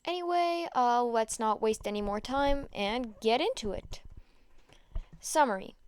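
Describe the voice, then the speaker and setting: A woman's voice: on the high side, expressive, a little slow, darkish tone, clear, resonant tone. A woman reading fan fiction aloud as an amateur audiobook narrator. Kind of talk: audiobook-style story narration.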